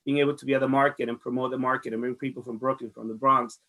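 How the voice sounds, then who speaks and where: A man's voice: medium pitch, flat delivery, very fast, bright, forceful tone. A man from the Dominican Republic with a Dominican Spanish accent, giving conversational interview answers in an earnest way, often repeating phrases. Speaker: farmers market director being interviewed.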